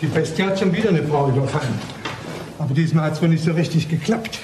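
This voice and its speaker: A man's voice: on the high side, animated, quick pace, close to an everyday voice. An actor playing a chatty, opinionated man who relishes crime news, in a radio play. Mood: sensational relish. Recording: noisy radio play recording.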